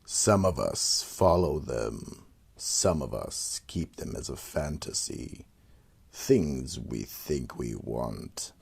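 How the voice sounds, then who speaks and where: A man playing a sinister horror-anthology narrator, the Keeper of the Vault, with a deep gravelly rasp and slow, ominous delivery. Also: expressive, heavily acted. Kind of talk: 1940s-style radio horror narration.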